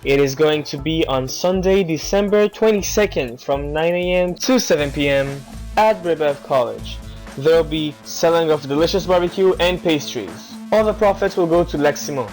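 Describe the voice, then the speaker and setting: A man with a fairly high voice hosts a community radio show. He reads his announcements in a scripted presenter's style, with faint guitar music behind him. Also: normal speed, darkish tone, rough recording.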